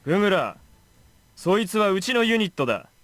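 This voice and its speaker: An actor playing a hot-tempered young knight in a visual novel, with rough, aggressive speech. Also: male voice, high voice, big pitch swings, fast, fairly bright tone, no room sound. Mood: angry. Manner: aggressive, rough, slurred slang. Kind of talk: Japanese visual novel voice acting.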